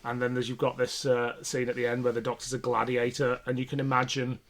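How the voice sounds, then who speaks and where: A man's voice: mid-range voice, completely flat delivery, fairly quick. A man co-hosting a podcast, talking casually with opinionated, sweary remarks in a review chat. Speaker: podcast co-host.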